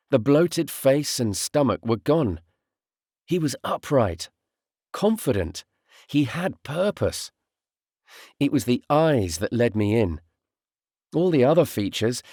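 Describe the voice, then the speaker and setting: A man reading a first-person audiobook narration in a measured storytelling way, in short, deliberate phrases. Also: medium pitch, darkish tone, dry recording, studio quality.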